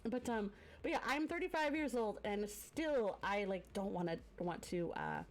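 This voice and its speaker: A chatty woman in her thirties hosting a casual live stream. She talks loosely, full of "you know" and "um", and breaks into laughter easily. Her voice sits at a middle pitch. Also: big pitch swings, moderate pace, soft background music. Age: 30s. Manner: chatty, with frequent fillers and laughter. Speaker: streamer host. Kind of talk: casual chat. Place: home streaming setup.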